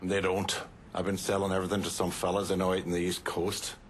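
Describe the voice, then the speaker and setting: An actor playing a profane, embittered middle-aged Irish mobster in a video game cutscene, blunt and coarse. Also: male voice, deep voice, completely flat delivery, fast, slight background noise, natural voice. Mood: bitter, remorseful. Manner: blunt, coarse, swearing freely. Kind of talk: dramatic game cutscene acting. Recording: music behind the voice.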